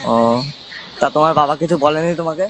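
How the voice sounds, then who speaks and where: A man's voice: a little high, moderate pace, a bit bright. A man chatting informally on a phone call, often checking he is understood. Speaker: phone caller. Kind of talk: casual phone conversation. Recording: faintly noisy phone call recording.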